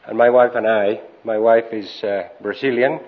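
A man raised in Colombia speaking at a conference, plain and unhurried with dry humor. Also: on the low side, fairly even intonation. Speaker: missionary speaker. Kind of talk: conference talk. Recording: dull lo-fi recording.

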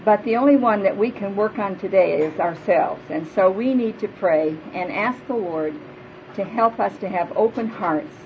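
A woman's voice: medium pitch, normal speed, a little hiss or hum. Woman in her fifties for a plainspoken sermon to a women's group, big voice, dull live recording. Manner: earnest, prayerful, plainspoken. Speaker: speaker at a women's church retreat. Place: hall before a live audience.

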